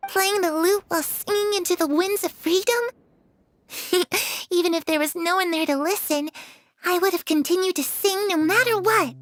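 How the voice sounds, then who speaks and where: An actress playing a wistful, playful young girl in a game, talking gently and dreamily. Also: very high voice, moderate pace. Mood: dreamy. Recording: game audio with faint music.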